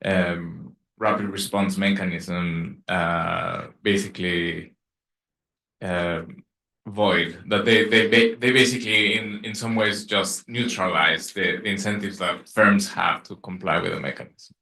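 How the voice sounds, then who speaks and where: A man asking a question from the audience as a professor, halting as he searches for words. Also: deep voice, steady tone, gravelly, pushed and bright. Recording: echoey event room.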